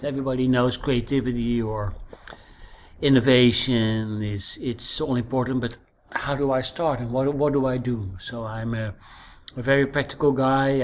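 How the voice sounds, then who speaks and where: A middle-aged man with a Dutch accent explaining things conversationally as an interview guest, emphatically repeating key phrases. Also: medium pitch. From Netherlands. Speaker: interview guest, innovation trainer and author. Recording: clearly noisy recording with ambience.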